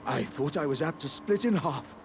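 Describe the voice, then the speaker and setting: A man's voice: a little high, expressive, moderate pace, creaky, noisy background. A man voicing a big, earnest, jovial living warrior jar in a video game, with theatrical, old-fashioned courteous speech. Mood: sheepish, hopeful. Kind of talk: video game character acting.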